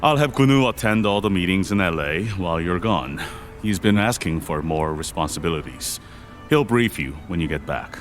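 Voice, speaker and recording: An older tycoon father for a podcast drama. His voice is low and weighty, his manner reserved and measured, with faint music underneath. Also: animated. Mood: grave, reserved. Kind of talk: audio drama acting.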